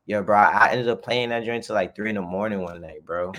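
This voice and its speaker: A man's voice: fairly low pitch, a little fast, fairly bright tone. A man in his twenties with a New York accent, a wrestler guest chatting in slangy, hyped street talk. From the Bronx, New York. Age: 20s. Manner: slangy and hyped, calls everyone bro. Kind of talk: casual chat.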